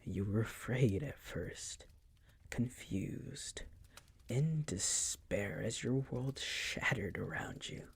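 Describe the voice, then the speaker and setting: A man playing a seductive, possessive vampire master in an intimate roleplay audio, teasing and coaxing in a breathy, husky voice that often drops to a whisper. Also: on the low side, full character voice. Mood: tender, possessive. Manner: slow, teasing, condescending coaxing.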